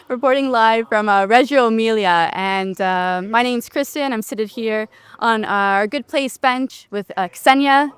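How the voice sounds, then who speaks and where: A woman's voice: fairly high pitch, a bit bright, smooth, dry recording. A woman hosting a casual live interview, chatty and upbeat, with frequent fillers. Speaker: interviewer, event host. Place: outdoors on a bench at a city event.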